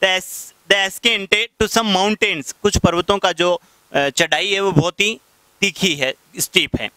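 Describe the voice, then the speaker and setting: A male teacher in his thirties with a Rajasthani accent, whose high, crisp voice is picked up dry and close by a headset mic. He explains vocabulary patiently at the whiteboard and slows down whenever he reads out English example sentences. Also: bright, forceful tone, no room sound. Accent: Rajasthani Hindi. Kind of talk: classroom lecture explaining English vocabulary in Hindi. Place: classroom in front of a whiteboard.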